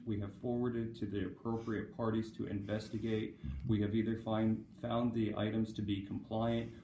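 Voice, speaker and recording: A man giving a formal, measured, bureaucratic statement as a city official at a hearing. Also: on the low side, dark tone. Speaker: city code compliance official. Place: indoor hearing room. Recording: echoey room, noisy rustling.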